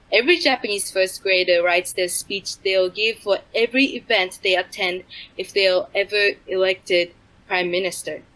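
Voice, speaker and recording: A woman's voice: medium pitch, a bit bright, rough recording. A woman co-hosting a comedy news show, delivering absurd jokes in a matter-of-fact way. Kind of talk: scripted comedy news reading.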